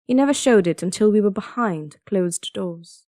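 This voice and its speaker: An actress playing a devoted, strong-willed young princess in an audio drama, speaking and narrating in a measured, earnest, solemn way. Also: female voice, mid-range voice, lively intonation, dark tone, slight background noise, close to an everyday voice. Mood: solemn, reflective. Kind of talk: audio drama acting with first-person narration.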